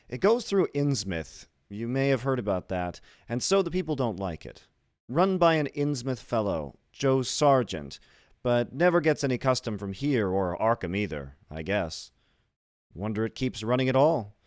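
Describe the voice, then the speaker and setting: A man acts out a shrewd, stout small-town ticket agent in a literary audiobook. The voice sits above the reader's usual deep register and is folksy and colloquial, like local gossip, in a dry recording. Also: big pitch swings, normal speed, no room sound, close to an everyday voice. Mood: folksy, doubtful.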